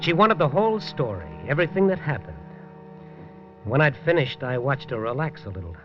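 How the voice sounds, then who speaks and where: A man's voice: medium pitch, big pitch swings, fairly quick, soft background music, close to an everyday voice. Male actor doing a hard-boiled newspaper reporter, wry first-person narration, old 1950s radio play, muffled sound. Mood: tense. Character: world-weary male night-beat newspaper reporter. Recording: dull lo-fi 1950s radio recording.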